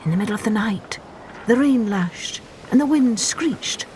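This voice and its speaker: Female storyteller for children's tales: expressive, unhurried, with widely varied pitch. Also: a little hiss or hum.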